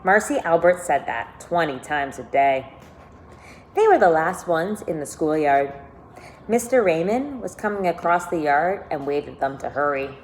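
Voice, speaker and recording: Expressive woman teacher reading aloud, noisy background. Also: deep voice. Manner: deliberate, questioning, stressing key words for young listeners. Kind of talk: expressive read-aloud of a children's novel with think-aloud comments.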